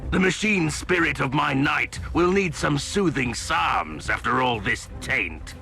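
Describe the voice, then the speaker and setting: A man's voice: medium pitch, lively intonation, unhurried, gravelly, sharp tone, faint music behind, full character voice. A man playing a bombastic, zealous war-machine pilot in a video game, pompous and grandiose in his theatrical delivery. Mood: triumphant, formal.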